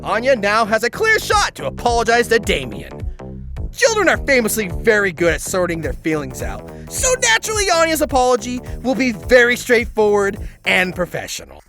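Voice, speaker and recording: A wry narrator delivers a scripted comedy recap with mock drama. The voice is bright and hugely varied in pitch, with faint music underneath. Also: huge pitch swings, normal speed. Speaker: narrator and host.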